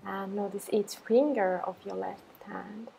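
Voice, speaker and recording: A woman teacher leading a guided meditation in a calm, unhurried manner, giving simple instructions with gentle pauses. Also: medium pitch, creaky, slight background noise, low-quality recording.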